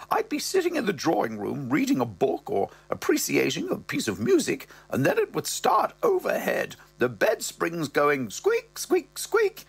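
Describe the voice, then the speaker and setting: A grand, swooping old lady who drawls every word with imperious scorn, as a man puts her on. Her high voice soars and dips all over, with a gravelly, husky edge. The sound is dry and close. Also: fairly bright tone, no room sound, heavily acted. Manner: imperious, drawn-out, scandalised. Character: posh elderly mother, haughty, tipsy, blunt.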